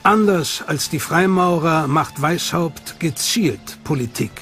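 High-pitched male documentary narrator. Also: animated, slow. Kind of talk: scripted narration. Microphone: studio mic. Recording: studio voice-over over loud music.

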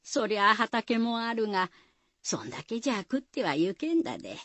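An actress playing a folksy village storyteller, narrating a radio folktale in homely rural Shizuoka dialect. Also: female voice, fairly high pitch, sing-song, moderate pace, a bit bright, no echo. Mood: storytelling.

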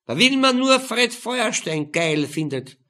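Man putting on a brash Viennese prostitute, pitched high. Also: sing-song, unhurried, pushed and bright, heavily acted. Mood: mocking. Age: middle-aged. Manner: blunt, teasing, slangy. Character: brash, mocking middle-aged Viennese prostitute, a tall woman. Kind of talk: audiobook character voice.

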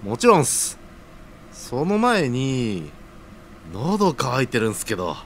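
A man's voice: mid-range voice, wildly swooping pitch, takes it slowly, fairly bright tone, a little hiss or hum, full character voice. A man playing an earnest, bashful young man in an audio drama, speaking in casual but deferential slang. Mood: excited, grateful. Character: earnest young man, breezy, bashful junior, newly engaged.

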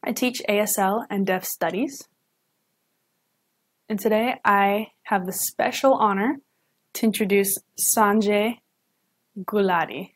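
A young woman, a student interpreter, voicing a signed lecture live at home into a webcam, pausing and correcting herself as she goes. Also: medium pitch. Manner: halting, with self-corrections and restarts. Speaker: student sign language interpreter.

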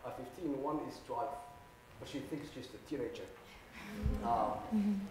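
A man's voice: on the high side, sing-song, slow pace, gravelly, darkish tone, soft background music, rough recording. Middle-aged man. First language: Somali. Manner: earnest storyteller, repeats words as he builds phrases. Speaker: panelist, community worker and radio host. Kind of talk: panel talk, personal anecdotes. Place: auditorium stage. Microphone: lapel mic.

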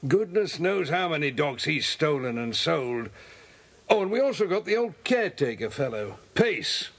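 A brisk, genial British police inspector for a children's audio drama. His voice sits high for a man. He talks in a hearty, polite and chatty way. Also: sing-song, fairly bright tone, full character voice.

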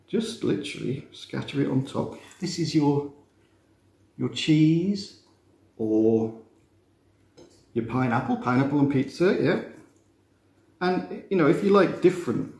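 A man, teaching a relaxed cooking demonstration in a chatty, self-mocking way with playful asides. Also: mid-range voice. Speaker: cooking instructor. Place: glass-walled kitchen room facing a garden. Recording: roomy echo, faint background noise.

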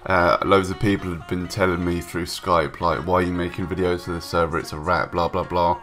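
Young male gaming vlogger, very low voice, stuffed-up from a cold, hesitant rambling, faint music behind. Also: fairly even intonation, fairly quick, fairly bright tone. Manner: rambling, hesitant, many ums and restarts. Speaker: gaming YouTuber. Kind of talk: casual voice-over commentary. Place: home room, recorded separately from gameplay.

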